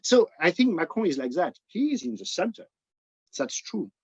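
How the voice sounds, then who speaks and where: A man's voice: high-pitched, big pitch swings, creaky, husky, dry recording. A man with a French accent, a native French speaker, explaining politics conversationally as a guest and searching for words in English. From France. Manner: halting, searching for words in a second language. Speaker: interview guest, editor. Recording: dull, band-limited audio.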